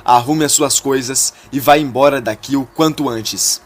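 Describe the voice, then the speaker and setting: A man's voice: mid-range voice, moderate pace, natural voice. A man voicing a stern older father who demands answers in a retold soap-opera story.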